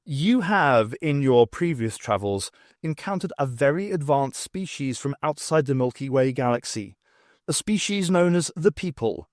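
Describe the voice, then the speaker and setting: A man's voice: medium pitch, animated, moderate pace, dry recording, clean studio sound, close to an everyday voice. A man voicing an earnest male envoy in an audiobook, formal and explanatory in manner. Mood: solemn.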